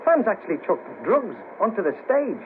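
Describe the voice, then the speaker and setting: A man's voice: high voice, very animated, moderate pace, phone-quality sound. An actor playing a rueful, easily shocked ex band manager down on his luck in a 1970s radio play, talking in hesitant, clipped phrases on a noisy old cassette recording. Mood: wistful, disillusioned.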